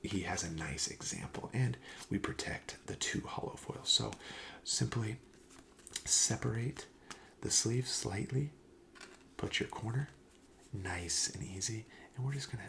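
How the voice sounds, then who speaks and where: Low, creaky, soft-spoken ASMR man. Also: lively intonation, unhurried, pauses often. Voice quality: soft, breathy half-whisper. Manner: gentle, polite, attentive salesman. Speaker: ASMR host playing a card shop clerk.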